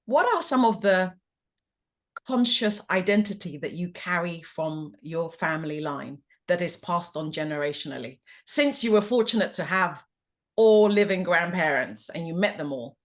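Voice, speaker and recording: A woman from Ghana hosting a podcast, asking reflective, measured questions. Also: on the low side, animated. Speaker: podcast host. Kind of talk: interview conversation.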